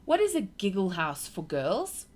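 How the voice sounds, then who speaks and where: A woman voicing an eager, anxious young girl in a high voice. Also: huge pitch swings, a little slow, darkish tone.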